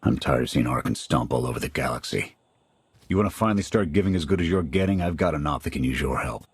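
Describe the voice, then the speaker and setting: An actor playing a gruff veteran male soldier who leads a squad, blunt and clipped, in a video game. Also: lively intonation, fast talker, no echo, heavily acted. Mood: steady. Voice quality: deep gravelly voice. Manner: blunt, clipped military orders. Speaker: squad leader.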